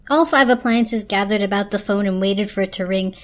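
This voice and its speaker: A woman's voice: medium pitch, brisk. A woman reading aloud as a storyteller, in a dramatic storybook narration style. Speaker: story reader.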